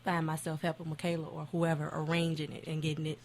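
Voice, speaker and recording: A young woman giving short, soft-spoken answers as a guest in a radio interview. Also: low-pitched, monotone, quick pace, a little hiss or hum. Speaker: guest singer. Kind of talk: casual interview.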